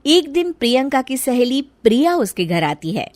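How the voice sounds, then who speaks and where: A woman's voice: sits high, sing-song, normal speed, no room sound. A woman reading scripted narration for a story, as the narrator.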